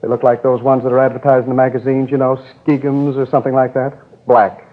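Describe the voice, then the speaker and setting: Man acting fussy male bank manager, querulous, sarcastic, medium pitch, rapid delivery, 1950s radio drama. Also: little pitch movement, lightly acted. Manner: flustered, querulous, sniping. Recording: old 1950s radio recording, narrow tinny band.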